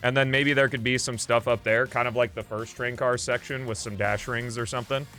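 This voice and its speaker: A man streams a video game and talks through it casually. His voice sits at a middle pitch, and he rambles in an offhand way, thinking aloud. Faint game music plays behind him. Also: steady tone, fairly quick, pushed and bright. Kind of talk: casual live gameplay commentary.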